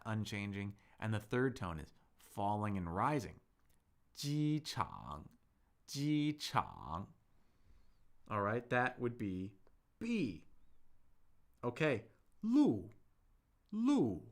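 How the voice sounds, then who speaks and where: A man's voice: medium pitch, a bit bright. A man teaching a live-streamed Chinese lesson, patiently explaining tones, modelling words aloud and answering viewers' chat.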